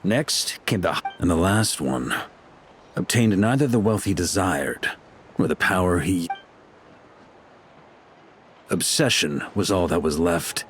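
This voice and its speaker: Commanding, composed knight grandmaster. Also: male voice, low-pitched, a little hiss or hum. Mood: wry, reflective. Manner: measured, commanding. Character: authoritative, composed knight grandmaster, stern but humane. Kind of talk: video game voice acting.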